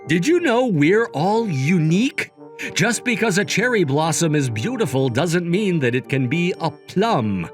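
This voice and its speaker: A man's voice: fairly high pitch, big pitch swings, unhurried, soft background music. A man voicing a composed, principled young company CEO in a manga dub, speaking in a firm, explanatory way. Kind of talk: manga dub narration and dialogue.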